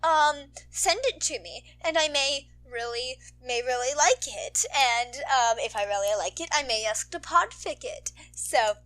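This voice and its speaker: A woman's voice: high voice, measured pace, hardly any pauses, poor audio. A woman hosting her fan-fiction readings, chatting casually to listeners in a gushing, rambling, slangy way, peppered with "like". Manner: gushing, excitable, slangy, frequent "like". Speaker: podfic reader and host.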